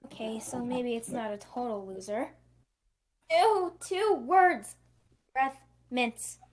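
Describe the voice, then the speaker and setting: A high-voiced, bratty ten-year-old cartoon girl, acted by a woman in a table read. She is teasing and sharp-tongued, a cousin who loves to needle. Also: slow, heavy background noise. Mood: disgusted, mocking.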